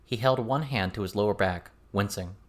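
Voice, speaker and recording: A man's voice: fairly low pitch, normal speed. A man reading scripted fiction as an audiobook narrator.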